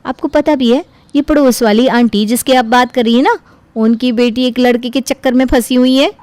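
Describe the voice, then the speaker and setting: A woman's voice: high voice, normal speed, darkish tone, dry recording, close to an everyday voice. A woman voicing a brash, self-righteous young daughter-in-law in a cartoon, arguing back defensively. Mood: know-it-all. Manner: butts in, argues back, defensive. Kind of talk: cartoon voice acting.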